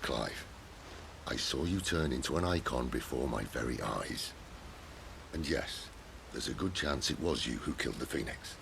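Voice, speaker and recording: Gruff british outlaw boss for a game, super deep and gravelly, wry and blunt. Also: male voice, expressive, normal speed, background noise, full character voice. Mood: grave, insistent. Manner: blunt, sardonic. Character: gruff, wry, middle-aged man, blunt outlaw leader. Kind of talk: video game cutscene acting.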